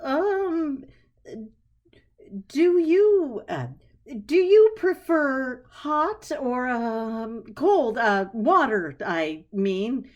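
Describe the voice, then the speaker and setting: A woman's voice: high voice, very animated, very slow, dull audio, pitched above the performer's own voice, heavily acted. A woman playing an anxious, flustered woman in a storybook, with a hesitant, stammering, nervous manner. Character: flustered, anxious woman startled by her talking houseplant. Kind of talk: audio storybook character voice.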